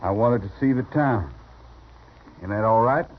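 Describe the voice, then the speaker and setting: An American actor playing a polite, uneasy young gunman in a radio western, soft-spoken with a needling edge. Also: fairly low pitch, unhurried. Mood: guarded. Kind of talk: 1950s radio western acting. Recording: dull, slightly noisy old radio recording.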